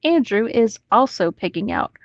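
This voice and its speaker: A woman's voice: a little high, big pitch swings, moderate pace, no room sound, highs cut off. A woman reading a story aloud as a co-host, informal and joking, with casual asides and free swearing.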